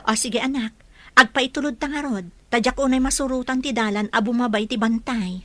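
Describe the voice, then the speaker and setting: Radio drama role: woman as gentle, affectionate young child's mother, fairly high voice. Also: steady tone, brisk, dry recording.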